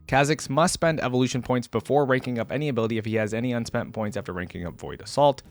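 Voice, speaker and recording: Casual man giving reaction commentary. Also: mid-range voice. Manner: conversational, thinks aloud with frequent "like". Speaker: gaming reaction video creator.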